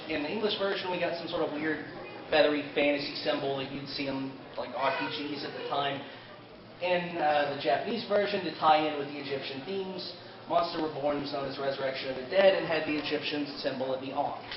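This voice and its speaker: A man giving an informal fan panel lecture at a convention, a bit halting and given to joking asides. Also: on the high side, fairly even intonation. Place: indoor convention panel room. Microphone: distant camera mic. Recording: echoey convention room, distant muffled camera mic, crowd. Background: audience crowd noise.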